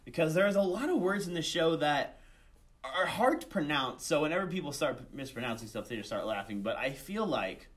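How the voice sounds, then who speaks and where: A man's voice: a little high, very animated, brisk, a bit bright. A young adult man talking casually as an interview guest, often restarting his phrases in a hesitant way. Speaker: student director and voice actor, interview guest.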